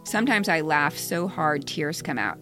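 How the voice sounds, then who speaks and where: A middle-aged American woman reading a scripted personal story in a measured way, in short plain sentences. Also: sits low, big pitch swings, a bit bright, soft background music. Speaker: podcast co-host reading their own essay.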